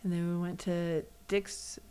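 A woman's voice: on the low side, unhurried, smooth. An American woman from the West Coast, a co-host chatting casually and telling personal stories, acting out what people said. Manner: animated storyteller, acts out quoted remarks.

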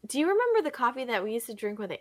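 A woman's voice: on the high side, big pitch swings, rapid-fire, a little mellow. Young woman swapping laughing anecdotes. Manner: laughs easily, tells anecdotes. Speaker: stream co-host. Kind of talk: casual chat with friends on a livestream.

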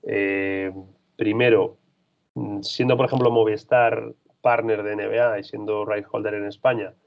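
A freelance basketball reporter answers interview questions in a low, gravelly voice. He talks thoughtfully, rambles, and restarts often. The recording sounds dull and lo-fi. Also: little pitch movement, unhurried.

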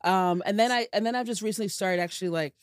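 A woman's voice: medium pitch, fast talker, no room sound, studio quality. An American woman from New Jersey in a casual chat, candid and blunt, swearing freely. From New Jersey, USA.

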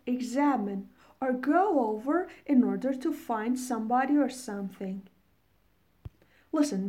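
Female English teacher, native Farsi speaker. Soft mellow tone, very unhurried delivery, explanatory vocabulary lesson. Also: fairly high pitch. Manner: deliberate, explanatory. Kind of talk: vocabulary lesson with definitions and examples.

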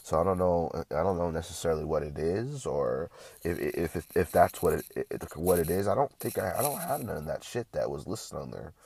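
A man's voice: deep voice, lively intonation, fairly quick, vocal fry, a little mellow, breathy edge. A young man vlogging casually with a stuffy, congested nose, rambling and swearing often with lots of restarts.

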